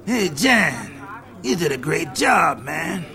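A man playing a brash, hot-tempered jazz star in a radio drama, a native English speaker talking in loud slang. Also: on the high side, big pitch swings, takes it slowly, full character voice. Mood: exuberant.

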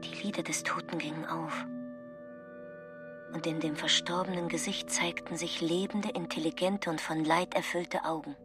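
Woman playing a low, hushed, awed female witness. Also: steady tone, moderate pace, over music, close to an everyday voice. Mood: hushed dread. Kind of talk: hushed radio play acting.

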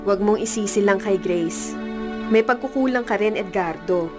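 A woman's voice: mid-range voice, normal speed, lightly acted. An actress playing a frank, reproving woman in a radio drama, with music behind. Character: frank, reproving adult woman, a family confidante.

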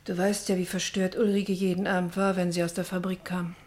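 Woman acting a anguished middle-aged mother, lowish voice, brooding, bitter, radio drama. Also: flat delivery, brisk, a little mellow, natural voice. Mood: bitter grief. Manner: anguished, brooding, partly whispered. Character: grieving, bitter pastor's wife, mother of missing daughter.

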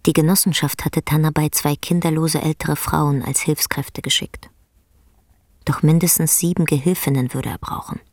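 A woman narrating a literary radio play in a measured storytelling delivery. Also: low-pitched, steady tone, a little mellow, no echo, studio quality. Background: faint music.

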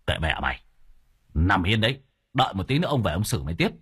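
A man's voice: deep voice, sing-song, fast, a bit bright, no room sound, clean studio sound, full character voice. A man voicing a brusque, commanding male spirit master who speaks curtly. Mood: dismissive. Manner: gruff, curt.

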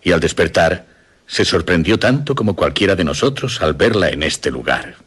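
A man playing a courteous, reassuring older father in a radio drama, speaking formal, deliberate Castilian Spanish. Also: low voice, expressive, a bit bright, slightly rough, heavily acted. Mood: explanatory.

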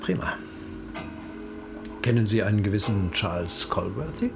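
An actor playing a blunt police interrogator in a radio crime drama, with a lecturing manner. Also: male voice, fairly low pitch, expressive, normal speed, gravelly, music in the background. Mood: sternly lecturing.

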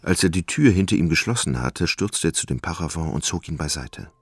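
Very deep, creaky male narrator. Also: expressive, brisk, dry recording. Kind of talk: scripted literary narration for a radio play. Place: dry studio.

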